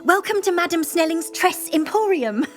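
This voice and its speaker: Grandiose salon proprietress, high swooping voice, gushing, self-important, video game character, music behind. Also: female voice, animated, normal speed. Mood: gushing, boastful. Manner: grandiose, drawling for emphasis, gushing. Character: flamboyant, self-important woman hair salon owner, theatrical and gushing.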